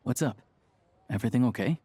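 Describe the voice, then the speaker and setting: A man's voice: medium pitch, fast, dark tone, slightly rough, no room sound, close to an everyday voice. An actor playing an easygoing, knowledgeable local man in a video game, talking in a casual, conversational way. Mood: relaxed, friendly. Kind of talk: video game character dialogue.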